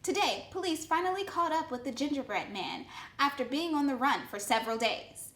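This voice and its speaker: A woman's voice: high voice, animated, brisk, a bit bright. A woman playing a fairy tale news anchor, reading a scripted bulletin in a formal way. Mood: newsy. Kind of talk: mock TV newscast, scripted. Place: indoor studio set. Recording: echoey room.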